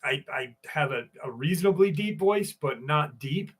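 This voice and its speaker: A man's voice: on the high side, lively intonation, moderate pace, breathy edge. A man hosting a live stream, telling stories in a loose, profane way and greeting viewers by name. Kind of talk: casual live stream chat and storytelling.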